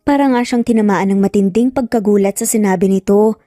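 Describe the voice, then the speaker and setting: A woman's voice: mid-range voice, a little fast, dark tone, no room sound, studio quality, faint music behind. A woman with a Filipino accent reading a scripted story as a dramatic narrator.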